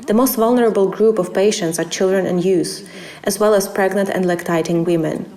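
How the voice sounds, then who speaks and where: A woman's voice: medium pitch, darkish tone. A woman reading a translated expert interview as a voice-over.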